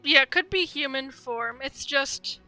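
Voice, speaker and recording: A woman's voice: sits high, measured pace, sharp tone. A woman doing casual livestream game commentary, rambling and thinking aloud in a tentative way. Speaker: game streamer. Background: game music.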